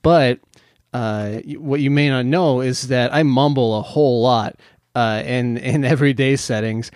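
A man co-hosting a podcast, rambling and self-mocking in casual chat, with a low, mumbly speaking voice and many restarts. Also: unhurried, darkish tone, dry recording, clean studio sound. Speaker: podcast co-host.

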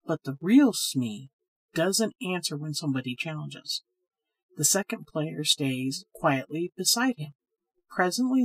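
A woman voicing a sad, hesitant man who tells a ghost story in a grave, measured way. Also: fairly low pitch, husky, rough recording.

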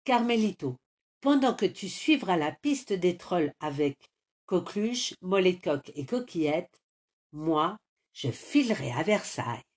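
A woman's voice: on the low side, very animated, a little slow, a little mellow. A woman doing a bold young rooster hero. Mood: rousing. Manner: rousing, bold calls. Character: brave young rooster boy.

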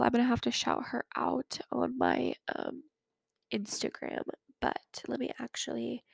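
A woman's voice: on the high side, vocal fry, a little mellow, dry recording, clean studio sound. A young woman in her twenties hosting a casual chat podcast, sounding nasal as she gets over a cold, chatty and full of fillers. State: sick, recovering from a cold. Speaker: podcast host.

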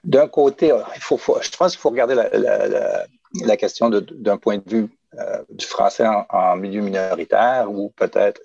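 A man's voice: fairly low pitch, creaky, a little mellow, husky, no room sound, lo-fi sound. A man, a panel guest who answers in a hesitant way with many restarts. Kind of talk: discussion panel.

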